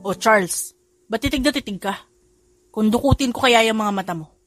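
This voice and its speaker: A woman's voice: a little high, normal speed, dry recording, natural voice. A cool, teasing rich boss and dad in a romance audiobook, read by a woman in her own register. Music plays faintly behind. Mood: cold.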